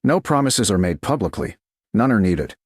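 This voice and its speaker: A man reading a romance story in a slow, measured narration style. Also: lowish voice, big pitch swings, no room sound.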